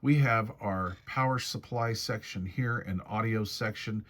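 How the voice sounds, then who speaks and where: A man's voice: lowish voice, a little slow, a bit bright. A man giving a casual, hands-on product review walkthrough.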